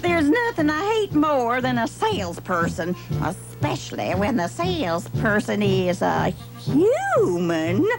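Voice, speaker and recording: High, swooping, scheming witch. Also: huge pitch swings, heavily acted, poor audio. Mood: vain, dismissive. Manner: drawn-out, gloating, exclamatory. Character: scheming, vain witch brewing potions. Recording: music under 1970s television soundtrack.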